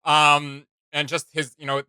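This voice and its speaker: Very bright-voiced man chatting casually. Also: a little high, completely flat delivery, a little slow, clean tone, no echo. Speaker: reaction video host. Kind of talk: casual chat, reaction commentary.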